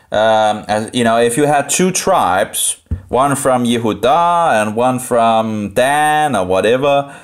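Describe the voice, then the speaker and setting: A Danish man with a Danish accent thinks aloud through informal Bible commentary, halting and rambling as he repeats phrases. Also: medium pitch. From Denmark. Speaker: live streamer studying the Bible.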